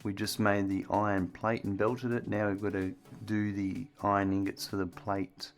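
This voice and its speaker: A man's voice: on the low side, level tone, moderate pace, creaky, soft background music. A man streaming a building game, chatting casually and thinking aloud as he works, with his voice getting strained. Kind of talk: casual gameplay commentary.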